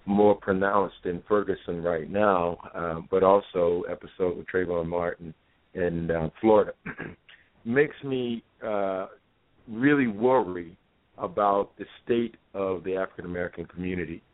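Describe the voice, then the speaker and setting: A man with a very low voice calls in to a discussion show. He speaks formally and with care, pausing over his phrases. The phone line is narrow and muffled. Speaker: caller, university professor. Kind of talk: earnest live call-in discussion. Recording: dull narrow-band phone call.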